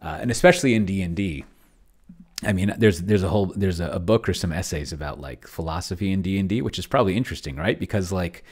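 A middle-aged man giving casual, unscripted livestream commentary, rambling as he thinks aloud and trailing off into mumbles. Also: sits low. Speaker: host of a tabletop roleplaying experiment stream.

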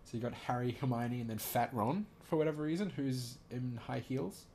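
A man's voice: mid-range voice, normal speed, warm tone. A man casually reading aloud and commenting as host, amused and giggly, swearing now and then.

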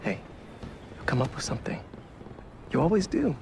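A man's voice: medium pitch, big pitch swings, normal speed, vocal fry, noisy background. A man playing an earnest, supportive young American superhero boyfriend in a video game, playful and encouraging. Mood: warm, sincere. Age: young adult. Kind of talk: video game cutscene acting, casual banter.

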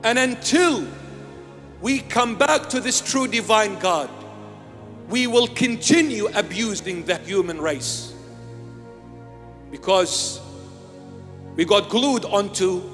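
A man's voice: sits high, animated, slow pace, long pauses, a bit bright, long reverb tail, faint music behind. An older man, born in Iraq, preaching an emphatic sermon into a handheld microphone in a small church, repeating key phrases. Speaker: bishop. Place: small church with altar and candles.

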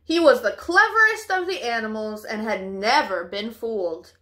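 High-voiced, animated young woman reading. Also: moderate pace. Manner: animated, clearly paced for children. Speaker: storytime host. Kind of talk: expressive children's picture-book reading. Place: living room, indoors.

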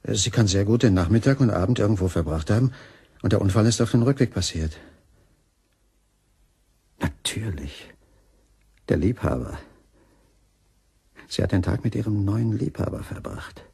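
A brooding, jealous man musing bitterly in a crime radio play. His voice is very deep and gravelly, with a dark, mellow tone. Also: expressive, normal speed, pauses often, dry recording, exaggerated voice. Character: brooding, jealous betrayed man investigating his lover's secret. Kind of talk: German radio crime drama acting.

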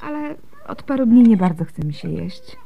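An actress playing a devout, gentle young Byzantine wife and mother in a radio drama, speaking softly and earnestly. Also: medium pitch, sing-song, moderate pace, creaky. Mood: tired, tender.

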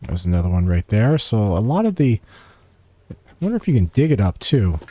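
Mellow-voiced man doing game commentary. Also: lowish voice, big pitch swings, a little fast. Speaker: gamer giving a quest walkthrough. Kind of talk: casual gameplay commentary.

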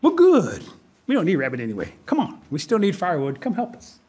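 A man voicing a crafty, eager little trickster rabbit in an oral folktale, with a coaxing, animated manner. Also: high voice, a little fast, breathy edge, full character voice. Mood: urging.

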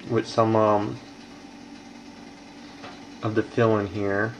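A man's voice: on the low side, level tone, slow pace, lo-fi sound. A young man casually talking through a home recipe in his kitchen, rambling with lots of ums, recorded on a handheld camera. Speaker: home cooking vlogger. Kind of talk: casual cooking demonstration. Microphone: handheld camera mic. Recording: echoey kitchen, faint noise.

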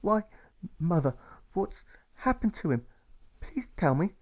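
A man acts out a timid little boy in an audiobook, high and small. He stutters anxiously and pleads, repeating his words. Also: sing-song, slow, heavily acted. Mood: anxious, pleading.

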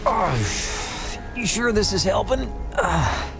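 An actor playing a laconic, stoic, weary cowboy gunslinger in a Western video game. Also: male voice, high-pitched, sing-song, very slow, gravelly, loud music behind the voice, exaggerated voice. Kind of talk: video game cutscene acting.

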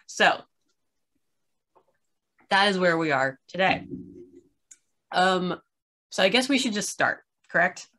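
A woman's voice: lowish voice, expressive, takes it slowly, long pauses, pushed and bright. A middle-aged woman hosting a casual, unscripted chat over a video call, rambling with self-deprecating humour. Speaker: host. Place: room at home, video call.

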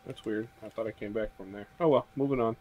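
A man's voice: lowish voice, fast talker, muffled recording, a little hiss or hum. A man chatting casually as a let's play co-host, teasing and coaching his partner. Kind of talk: casual gaming chat.

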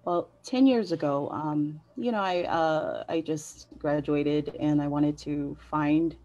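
A woman's voice: low voice, takes it slowly, dark tone, no room sound. A middle-aged woman from American Samoa and Hawaii, answering interview questions conversationally as a guest. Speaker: interview guest, census partnership specialist. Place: indoors on a video call. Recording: dull lo-fi video call.

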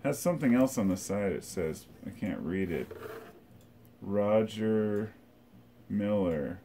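A man's voice: lowish voice, lively intonation, slow pace, dark tone, slight background noise. A middle-aged man sits in his living room and talks casually through his box of old tapes. He thinks aloud and rambles, with many fillers. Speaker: collector showing his tape reels. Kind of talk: casual show-and-tell. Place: living room by a record shelf, indoors.

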